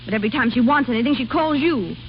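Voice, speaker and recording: Actress as a saucy high-voiced maid, vintage radio. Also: female voice, fast talker, natural voice. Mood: jealous, pert. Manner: coy, pouting. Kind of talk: 1930s-40s American radio comedy acting. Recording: muffled, noisy old radio recording.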